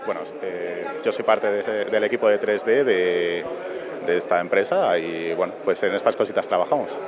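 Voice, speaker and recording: A man's voice: sits low, normal speed. A young man, an engineer from Spain, answering a reporter hesitantly with fillers into a handheld mic. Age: young adult. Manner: hesitant, with fillers and a nervous laugh. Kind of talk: on-the-spot interview. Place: conference venue lobby. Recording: crowd chatter in a busy lobby.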